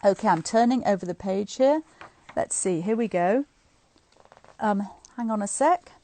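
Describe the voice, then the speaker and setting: A middle-aged woman reading a book aloud to online listeners in an expressive, informal, chatty way. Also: medium pitch, measured pace. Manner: informal, chatty asides between expressive read passages. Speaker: guest reader of a bedtime story series. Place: indoors at home, on a live online stream.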